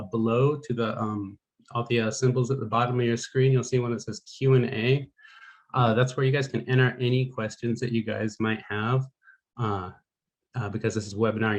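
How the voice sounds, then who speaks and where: A man's voice: medium pitch, a little mellow, low-quality recording. A young adult man, a museum programs manager hosting a webinar over a video call, talking casually with many fillers.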